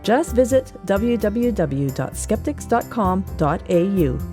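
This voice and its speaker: Canadian woman reading, lively yet mellow voice. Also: mid-range voice, measured pace, faint music behind. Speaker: newsletter reader. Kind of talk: scripted podcast segment reading.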